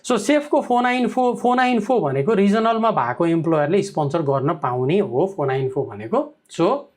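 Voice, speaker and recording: Guy talking super fast, advisor answering comments, lots of uh and you know. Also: high-pitched, lively intonation. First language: Nepali. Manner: code-switching, heavy fillers, frequent checks like "okay?". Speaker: migration advisor. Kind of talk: live Q&A answering viewer comments.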